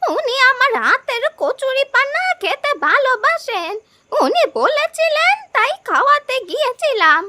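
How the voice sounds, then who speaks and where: Very high-pitched old village woman. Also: very animated, unhurried, bright, forceful tone, full character voice. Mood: indignant, defensive. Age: older. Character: old village woman, humble, anxious, indignant. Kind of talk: cartoon voice acting.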